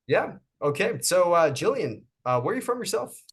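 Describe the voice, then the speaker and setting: A man, a fitness coach, hosting a relaxed interview, speaking in a friendly, encouraging way with frequent fillers and restarts. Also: high voice, moderate pace, slightly rough. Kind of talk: casual interview.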